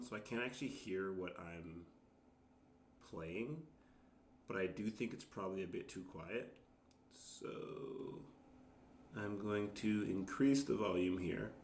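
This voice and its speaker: A man chatting casually and self-deprecatingly as an amateur worship musician on a livestream, in a halting, rambling, apologetic way. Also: on the low side, slight background noise. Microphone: microphone shared with guitar. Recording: roomy, with guitar music.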